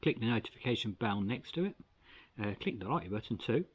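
A man's voice: lowish voice, brisk, husky. An older man from Australia, chatting casually as he cooks and tastes camp food at a picnic table in the bush, recorded by a camera across the table. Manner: chatty and relaxed, talks while eating. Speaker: outdoor vlogger. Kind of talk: casual camp-cooking review. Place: outdoors in bushland at a picnic table.

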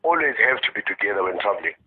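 A South African man in a casual phone chat, husky, switching between English and Zulu. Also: fairly low pitch, very animated, rapid-fire. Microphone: telephone line. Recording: muffled tinny phone-call recording.

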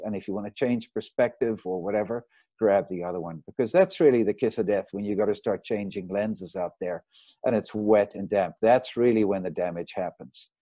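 A man, a sailing photographer, giving a relaxed, rambling slideshow talk over a video call. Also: lowish voice, no room sound. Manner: rambling, relaxed, with fillers and restarts. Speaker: marine photographer giving a lecture. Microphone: video call mic.

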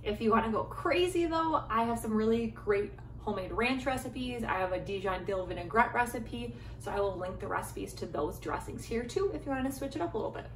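Echoey, higher-voiced American woman, chatty. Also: lively intonation, brisk, few pauses, far from the mic. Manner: chatty, informal. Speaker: home cooking vlogger. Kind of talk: casual chatty cooking demonstration. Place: home kitchen, some parts in a backyard garden. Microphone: phone or camera at arm's length. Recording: echoey kitchen, clear background noise.

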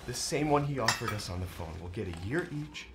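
A man's voice: medium pitch, expressive, a little fast, very noisy, natural voice. An actor playing a resigned, earnest man on the run, pleading with his lover in an audio drama.

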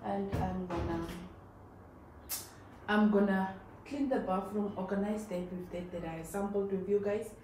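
A woman's voice: medium pitch, a little slow, dark tone, slight background noise. A Namibian woman vlogging at home, chatting to her viewers in a rambling way while she assembles a rack and tidies up. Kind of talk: casual home vlog chat. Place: tiled bathroom at home. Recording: distant, very echoey home room.

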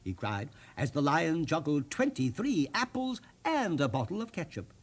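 A man hosting as storyteller, reading a children's storybook expressively with theatrical, dramatic pauses. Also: a little high, big pitch swings. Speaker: storyteller, TV host.

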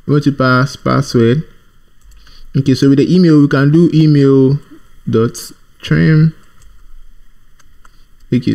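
A man presenting an informal programming screencast, thinking aloud in a halting, repetitive way as he types. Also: medium pitch, a little mellow. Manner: thinking aloud while typing, halting, repeats himself. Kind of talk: informal screencast coding tutorial.

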